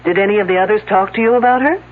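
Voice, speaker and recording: A woman's voice: medium pitch, rapid-fire, close to an everyday voice. An actress playing a skeptical, persistent woman detective in a mystery drama, questioning and commenting in a dry, sarcastic manner. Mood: brisk, probing. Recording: noisy, narrow phone-like old broadcast audio.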